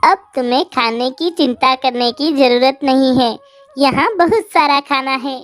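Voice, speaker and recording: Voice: high voice, unhurried, fairly bright tone. A performer voicing a small, kindly female bird in a cartoon fable, reassuring and helpful. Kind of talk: cartoon animal fable dialogue.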